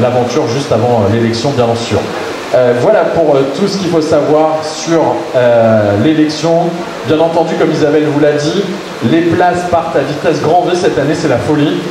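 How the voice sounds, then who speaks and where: A man presenting pageant candidates to the press, upbeat and hesitant with many fillers. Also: medium pitch. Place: large echoey hall. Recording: very echoey hall with loud noise.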